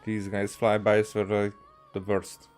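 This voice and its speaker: A man from Hungary with a Hungarian accent, giving casual gameplay commentary as a streamer, musing aloud in a halting way. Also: lowish voice, monotone, a little mellow, soft background music. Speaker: game streamer.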